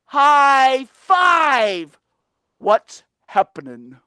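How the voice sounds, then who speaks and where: A woman's voice: sits high, big pitch swings, extremely slow, smooth, no echo, studio quality, voice pushed up, full character voice. A woman playing a rowdy oddball boy penguin. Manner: boisterous, shouting.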